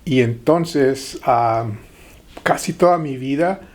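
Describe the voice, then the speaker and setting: Slow, hesitant middle-aged man. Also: medium pitch. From Texas-Mexico border. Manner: hesitant, many pauses and fillers. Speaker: guest. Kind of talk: interview conversation.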